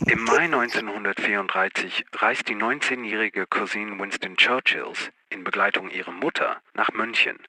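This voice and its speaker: An actor playing a crisp investigator who narrates a dossier in a radio play, in scripted documentary style. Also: male voice, lowish voice, normal speed, few pauses, bright, forceful tone, poor audio. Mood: crisp, factual.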